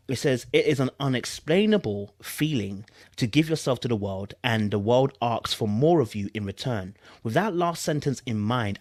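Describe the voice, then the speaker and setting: A man with a London accent and a mid-pitched voice, recorded dry with very little room sound. He talks like an online commentary host, informal and slangy, repeating phrases for emphasis as he reads and reacts. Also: no echo. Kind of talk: casual reaction commentary with reading aloud.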